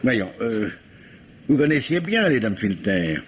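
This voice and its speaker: An actor playing a businesslike, drily insistent police commissioner who questions with small stammers and blunt turns, in an old radio mystery. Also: male voice, mid-range voice, big pitch swings, measured pace. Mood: insistent, polite. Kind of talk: 1950s French radio mystery acting. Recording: dull, noisy vintage radio recording.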